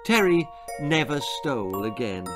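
An older man, an author reading a spooky, comic children's story aloud in a theatrical, deliberate way, drawing out key words. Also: medium pitch, sing-song. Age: older, about 60s or 70s. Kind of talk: dramatic children's horror-comedy storytelling. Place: book-lined room indoors. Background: background music.

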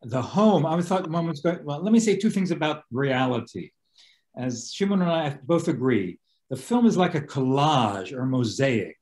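Man in his 60s, high voice, animated lecturer, frequent restarts, home video call. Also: normal speed. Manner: animated, gesturing, many restarts. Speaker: host and lecturer. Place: living room at home.

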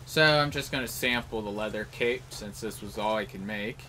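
A man's voice: lowish voice, moderate pace, pushed and bright, a little hiss or hum. A man giving casual, rambling live game commentary in an offhand way. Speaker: gameplay host.

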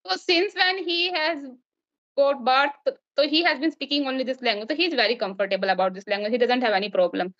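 A high-voiced Indian woman in her thirties, Hindi her first language, talking chattily and restarting her sentences. Her voice comes through a muffled, lo-fi video call from a small room. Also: a little fast, fairly bright tone. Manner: chatty, frequent restarts, laughs between points. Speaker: guest teacher. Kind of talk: casual video-call conversation. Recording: dull lo-fi call audio.

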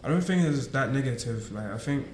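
A man's voice: mid-range voice, animated, a little fast, reverberant, a little hiss or hum. A man, a brand designer on a panel, speaking measuredly and hedging each point. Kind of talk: casual panel discussion.

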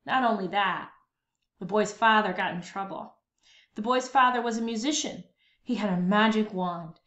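A woman reading a children's story aloud with expressive, dramatic, emphatic phrasing. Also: mid-range voice, moderate pace. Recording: dull lo-fi, roomy echo.

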